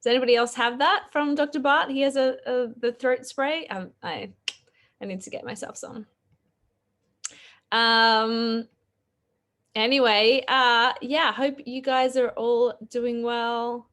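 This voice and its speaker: Croaky Australian woman, chatty host. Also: on the high side, fairly even intonation, takes it slowly, a bit bright. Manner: chatty, laughs often, fillers. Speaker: podcast host. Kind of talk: casual live-stream chat.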